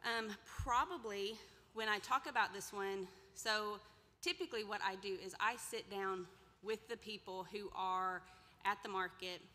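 Bright-voiced woman lecturing in a hall. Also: medium pitch, measured pace. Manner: conversational, asks the audience questions. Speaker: extension presenter. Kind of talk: workshop lecture with slides. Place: large lecture room indoors. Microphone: podium mic, far camera. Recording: reverberant hall.

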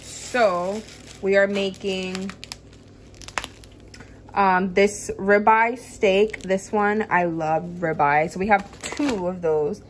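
A woman's voice: mid-range voice, steady tone, takes it slowly. Chatty young woman vlogger, noisy home recording. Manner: chatty, enthusiastic. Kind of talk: casual grocery haul vlog chat. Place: indoors in a home kitchen. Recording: clear background noise and room ambience.

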